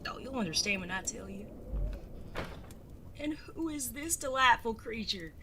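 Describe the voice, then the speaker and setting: A woman's voice: fairly high pitch, lively intonation, unhurried, sharp tone, slight background noise, heavily acted. A woman acting in an audio drama as a grown bandit son, charming and evasive, with a genteel, wordy, hesitant way of talking. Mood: breezy, affectionate.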